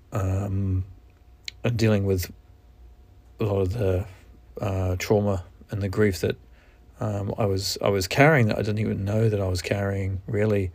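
Gravelly New Zealand man, reflective podcast host. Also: fairly low pitch, a little mellow, no room sound. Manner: reflective, halting, with frequent restarts. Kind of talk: candid solo podcast monologue.

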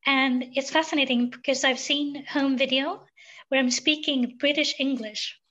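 High-voiced woman, thoughtful podcast guest. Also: steady tone, normal speed, pushed and bright, highs cut off. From Sweden. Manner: thoughtful, many um fillers. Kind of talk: reflective interview talk.

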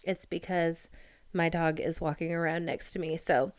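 A woman co-hosting a casual podcast from home on a cheap mic, chatty and quick to laugh. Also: low voice, little pitch movement. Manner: chatty, laughs easily, self-deprecating. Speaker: podcast co-host. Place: home, dog nearby. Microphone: cheap microphone.

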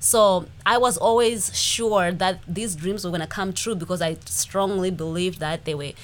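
A woman in her forties with a Zimbabwean accent, co-hosting a podcast and telling her life story in a lively way, acting out the things people said. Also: on the low side, normal speed. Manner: animated, acts out quoted speech. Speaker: podcast co-host. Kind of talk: casual personal storytelling.

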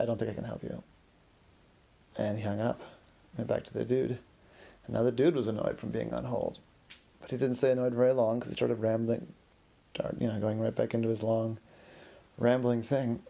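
A man's voice: mid-range voice, vocal fry, warm tone, slightly rough. A man improvising a story in a casual, rambling, conversational way, as the host of a story podcast. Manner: rambling, conversational, acts out characters' remarks in an exasperated way.

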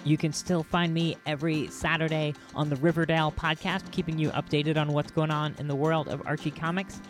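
Higher-pitched man hosting a podcast, halting and enthusiastic. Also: flat delivery, soft background music. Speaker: podcast host. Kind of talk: casual solo podcast commentary and sign-off.

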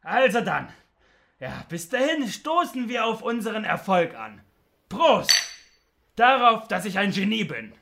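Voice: medium pitch, very animated, unhurried, gravelly, noisy background. An actor as a bossy, gloating crook with wildly swooping voice. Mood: boastful, jubilant. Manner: scornful, boastful, domineering. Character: gloating, bossy adult animal thief, ringleader. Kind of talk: children's audio drama acting.

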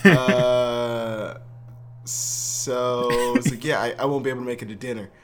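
A man's voice: mid-range voice, level tone, unhurried. A man co-hosting a podcast, telling a rambling, self-deprecating dating story in casual chat and laughing as he goes. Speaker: podcast co-host.

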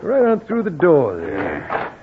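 An American man playing a sly, genial Western businessman with a husky voice and a dry manner in a radio drama. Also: on the high side, huge pitch swings, takes it slowly, slight background noise, exaggerated voice. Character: sly, genial male Western contract boss. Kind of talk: old-time radio Western acting. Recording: tinny old radio recording.

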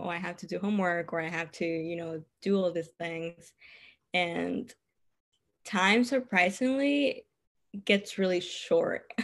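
Female guest, 20s, Cuban accent, low-set voice, relaxed and giggly. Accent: Cuban Spanish. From Cuba. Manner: laughs often while talking. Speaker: podcast guest. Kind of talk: casual interview chat.

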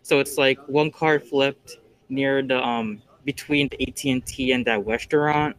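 A teenage boy co-hosting a casual chat, telling stories in an animated, excitable way. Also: medium pitch, unhurried, poor audio. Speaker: co-host.

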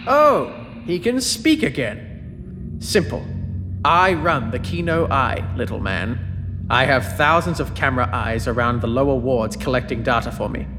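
Sneering, menacing bully, long reverb. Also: male voice, medium pitch, sing-song, measured pace. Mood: smug, threatening. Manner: taunting, condescending. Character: menacing, sneering man, possessive controlling older brother. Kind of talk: audio fiction drama acting. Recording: long echoing hall reverb, music behind. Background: dramatic music.

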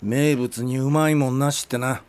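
An actor in a radio drama playing a crude, proud old film director, curt and teasing, with a gravelly voice. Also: male voice, mid-range voice, fairly even intonation, moderate pace, darkish tone, lightly acted. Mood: gruff, wry. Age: older. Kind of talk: Japanese radio drama acting.

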